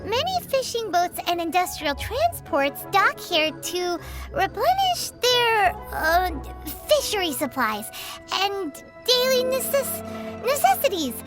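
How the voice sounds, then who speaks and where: Tiny fairy guide, childlike, squeaky, wildly animated pitch, easily exasperated, video game character, loud background music. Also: female voice, slow, exaggerated voice. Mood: instructive, faltering. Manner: refers to self in third person, squeaky, excitable.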